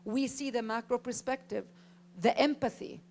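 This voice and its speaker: A woman's voice: a little high, fairly even intonation, normal speed, creaky, darkish tone, a little hiss or hum. A woman from Israel, a native Arabic speaker, giving an emphatic panel talk at a conference and repeating phrases for effect. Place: conference stage.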